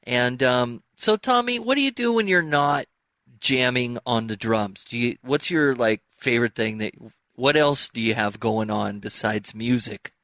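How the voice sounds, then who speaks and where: A man's voice: mid-range voice, a little slow, pushed and bright, dry recording. A man hosting a show, putting questions in a casual, conversational interview style. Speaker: show host.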